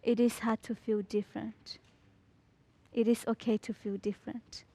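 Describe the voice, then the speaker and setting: A teenage girl from Burma, a student, reading her personal story in a slow, measured, deliberate way. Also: on the high side, flat delivery, mellow.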